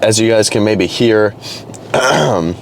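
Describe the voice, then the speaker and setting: A young man vlogging casually to camera, a little hoarse and congested from a cold, rambling loosely with restarts and fillers. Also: on the low side, takes it slowly, a little hiss or hum. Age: young adult. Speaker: fitness vlogger. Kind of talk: casual vlog talk to camera.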